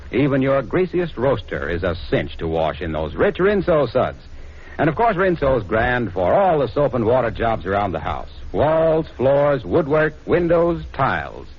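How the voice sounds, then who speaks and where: A man's voice: medium pitch, husky. A man reading scripted copy as a 1940s radio announcer and sponsor spokesman, on a muffled old recording.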